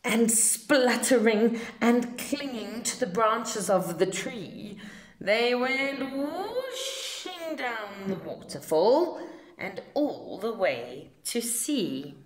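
A woman reading a children's picture book aloud in an expressive, theatrical storytelling manner. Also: a little high, roomy sound, slight background noise. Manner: slow, theatrical delivery for children.

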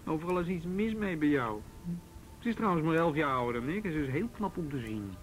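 Over a faint rumble, an actor plays a young male police officer with a Netherlands Dutch accent. He banters dryly, slightly exasperated but smitten. It sounds like an old radio drama. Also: expressive, brisk, natural voice.

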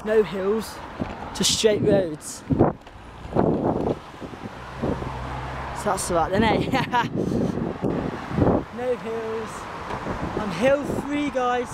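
High-voiced young Englishman over loud wind. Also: drawn-out words, long pauses. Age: 20s. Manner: rambling, informal, often addressing viewers. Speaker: vlogger. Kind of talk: casual chat to viewers while cycling. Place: outdoors on suburban roads, riding a bicycle. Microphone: handheld camera at arm's length. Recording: very noisy outdoor wind and traffic.

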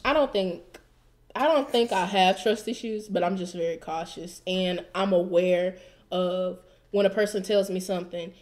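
Young woman, reflective podcast co-host, soft trailing delivery, studio mic in a small room. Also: fairly low pitch, normal speed. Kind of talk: casual chat. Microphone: studio mic with headphones.

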